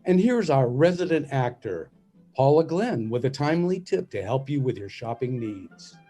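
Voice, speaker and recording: A man reads scripted narration for a radio play. His voice is warm and relaxed at an average pitch, with faint music underneath. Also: normal speed. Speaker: narrator and announcer.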